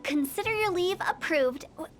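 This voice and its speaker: A woman's voice: very high voice, lively intonation, normal speed. A woman voicing a playful, morbidly cheerful young funeral parlor director in a video game, teasing and theatrical. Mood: cheerfully menacing.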